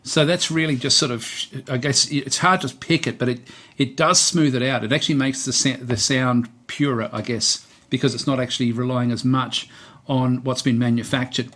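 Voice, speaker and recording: Man, medium pitch, casual tutorial presenter, unscripted, hesitant, thinking aloud. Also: steady tone, normal speed, a bit bright.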